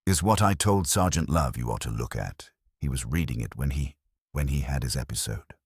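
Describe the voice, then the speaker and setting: A man voicing an officious, moralising busybody woman with a brisk, self-important manner in a mystery story. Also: rumbling low, breathy edge, no room sound, studio quality, exaggerated voice.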